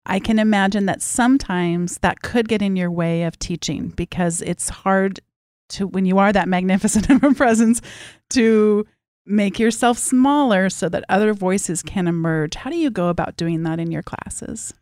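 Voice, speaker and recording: A woman's voice: mid-range voice, normal speed, darkish tone, dry recording. Brief: woman interviewer, dry close recording, affirming and reflective. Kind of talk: interview questions.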